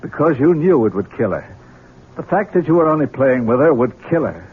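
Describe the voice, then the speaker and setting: Stern accuser, animated intonation. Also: male voice, mid-range voice, brisk, breathy edge. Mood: accusing. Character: stern, accusing man pressing for a confession. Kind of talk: 1970s radio drama acting. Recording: muffled, noisy 1970s radio recording.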